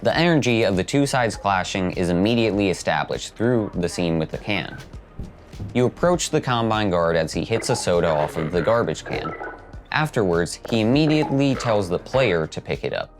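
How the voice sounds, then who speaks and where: A man's voice: lowish voice, expressive, moderate pace. A man hosting a video essay, reading scripted narration. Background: background music.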